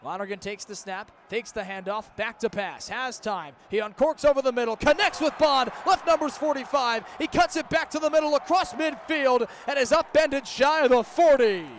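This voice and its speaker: A young man calling live college football play-by-play for radio in crisp, clipped descriptive phrases. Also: extremely high pitch, moderate pace, few pauses, a bit bright. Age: young adult. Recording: outdoor stadium broadcast with background noise.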